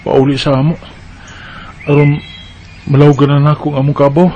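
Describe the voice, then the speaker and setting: A man's voice: a little high, flat delivery, moderate pace, dark tone, lo-fi sound, natural voice. A man playing a dutiful, earnest young farm son in a radio drama, speaking Bisaya with a Cebuano accent. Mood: breathless, straining. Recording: noisy amateur recording with clicks.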